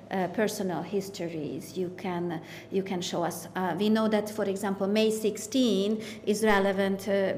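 A young woman moderating a panel discussion, asking questions with many "uh" fillers and a voice that trails off quietly. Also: mid-range voice. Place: art gallery room. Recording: reverberant hall, faint noise.

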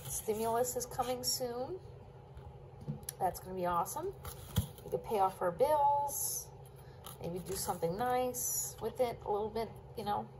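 Woman, lively pitch, chatty cooking vlogger, home kitchen, noisy recording. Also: a little slow, pauses often. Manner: chatty, talks to viewers while chopping. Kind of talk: casual cook-along chat.

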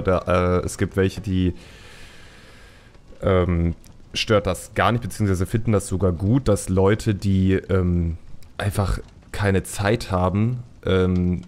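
A man's voice: sits low, darkish tone. A man hosting a casual podcast, putting his thoughts together piece by piece in a hesitant way. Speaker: podcast host.

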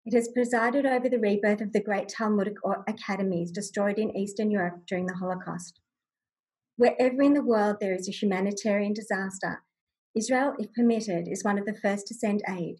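A middle-aged woman reads a formal tribute in a measured, solemn way on a video call from a room at home. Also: mid-range voice, a little mellow, husky, poor audio. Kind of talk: formal scripted tribute reading. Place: furnished room at home, indoors. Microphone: video call, computer microphone.

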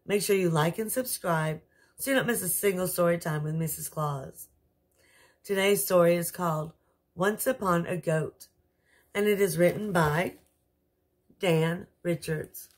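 Slow-paced woman reading a children's story. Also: on the low side. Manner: expressive, measured storytelling for children. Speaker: storyteller host in Mrs. Claus persona. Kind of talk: scripted children's read-aloud narration.

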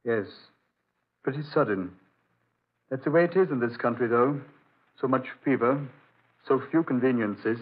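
An actor playing a genial but evasive, weary country doctor with a halting way of talking, in 1940s radio drama style. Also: male voice, medium pitch, husky, close to an everyday voice. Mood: worried, subdued. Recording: tinny, noisy old radio recording.

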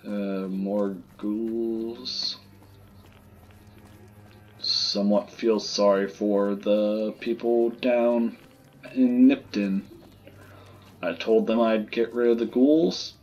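Slow, low-voiced man doing game commentary. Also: steady tone, darkish tone, dull audio. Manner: low-key, muttering in short phrases. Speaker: gaming video host. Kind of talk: casual let's play commentary.

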